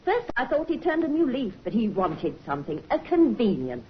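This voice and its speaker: A chatty, lively housewife. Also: female voice, a little high, normal speed. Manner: talkative, lively gossiping. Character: chatty, plain-spoken working-class housewife. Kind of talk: 1950s radio acting. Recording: dull vintage radio broadcast with faint hiss.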